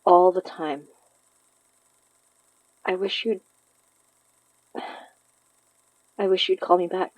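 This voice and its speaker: A woman acting a grieving, conflicted young daughter in an audio drama, speaking intimately and haltingly with a Filipino accent. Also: fairly low pitch, fairly even intonation, no echo. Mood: sad, longing. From Philippines. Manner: halting, sighing, confessional. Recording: slightly dull, lo-fi, dry recording.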